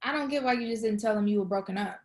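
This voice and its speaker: A woman's voice: mid-range voice, very fast, a little mellow, natural voice. A woman playing a wry, awkward, defensive young woman in a casual screenplay table read.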